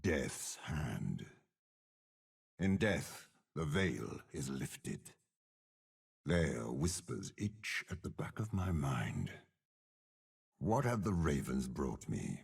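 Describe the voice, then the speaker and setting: An actor playing a commanding, cold, cunning older warlord with demonic power, speaking in slow, measured, eloquent game voice lines with a gravelly rasp. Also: male voice, rumbling low, sing-song, full character voice. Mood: cold, ominous. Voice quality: gravelly, raspy. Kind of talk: dramatic game character voice lines.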